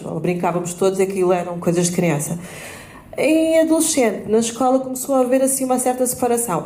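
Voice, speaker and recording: A woman's voice: medium pitch, darkish tone. A woman tells her own story on a panel, candid, acting out the exchanges she recalls. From Portugal. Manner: candid, acts out quoted exchanges. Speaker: debate panelist. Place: event space at a bookshop. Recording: roomy hall.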